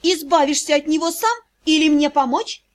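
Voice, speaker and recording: A woman's voice: extremely high pitch, expressive, normal speed, pitched above the performer's own voice. A woman voicing a fearless, hot-tempered young vampire noblewoman in an audiobook, imperious and scolding. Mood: icy, threatening.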